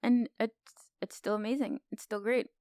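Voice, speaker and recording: A woman's voice: fairly high pitch, fairly even intonation, normal speed, mellow, clean tone, no room sound, clean studio sound. A woman co-hosting a film podcast, chatting casually in an enthusiastic, teasing way. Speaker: podcast co-host.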